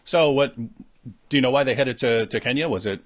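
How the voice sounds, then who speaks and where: A man hosting an interview, asking questions in relaxed casual talk with many fillers. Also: mid-range voice, moderate pace. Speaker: interview host.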